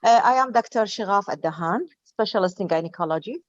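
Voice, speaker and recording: A woman with an Iraqi accent speaks haltingly as she puts questions to a panel. The sound is dull and lo-fi, like an online call, and dry with no room around it. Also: mid-range voice, expressive, no echo. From Iraq. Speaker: gynecologist, audience questioner. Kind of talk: asking questions in an online webinar.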